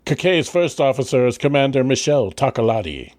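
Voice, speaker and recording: A man's voice: mid-range voice, big pitch swings, brisk, a little mellow, dry recording, clean studio sound. A man reading scripted narration in the style of an old radio serial. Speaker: narrator of an audio drama.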